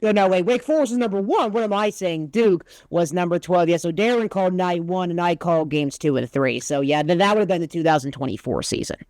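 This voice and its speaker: A man's voice: high-pitched, fairly quick, hardly any pauses, darkish tone, no echo. A man hosting a sports podcast and interviewing a guest in a conversational way. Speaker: podcast host, sports broadcaster.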